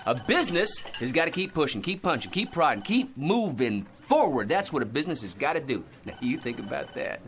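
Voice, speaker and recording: An actor in a radio drama playing a tiresome, argumentative middle-aged office bore, long-winded and pushy. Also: male voice, mid-range voice, sing-song, fairly quick, background noise. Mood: preachy. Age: 40s. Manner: long-winded, pushy, chuckles and tags questions with "huh?".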